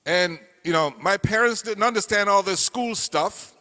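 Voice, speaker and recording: Older man from Cameroon, bright voice in a hall. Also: sits high. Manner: earnest, storytelling, slow deliberate emphasis. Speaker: political candidate speaking at a meeting. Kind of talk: campaign speech. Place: meeting hall. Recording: reverberant hall.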